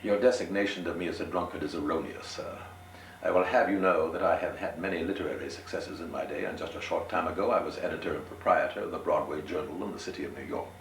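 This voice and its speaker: A man voicing a small, shabby, proud and melancholy drunken gentleman with florid, proper speech in a story reading. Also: low voice, fast talker, gravelly. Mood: wounded pride. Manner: florid, proper, pompous oratory. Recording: roomy, distant, noisy amateur home recording.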